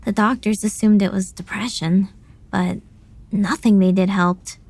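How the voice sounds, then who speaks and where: A woman's voice: mid-range voice, fairly even intonation, unhurried, darkish tone, no echo. A woman playing a worried, reflective sister thinking aloud in an audio drama monologue, musing and dramatic.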